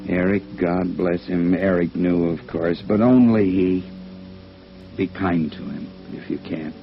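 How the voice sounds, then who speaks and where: An actor playing a ruined alcoholic violinist, weary and remorseful, halting and dramatic in 1950s radio drama style. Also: male voice, low-pitched. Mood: remorseful, broken. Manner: halting, dramatic, self-loathing. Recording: dull vintage radio recording, music behind.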